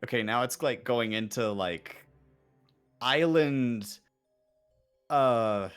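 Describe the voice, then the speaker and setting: A man's voice: medium pitch, slow, clear, resonant tone. A man chatting casually on a group livestream, given to wry understatement. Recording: music playing behind the voice. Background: music.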